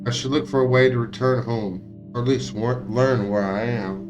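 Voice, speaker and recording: A man streaming a visual novel, reading its text aloud in a somewhat halting way with occasional stumbles. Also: medium pitch, steady tone, music in the background. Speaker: game streamer.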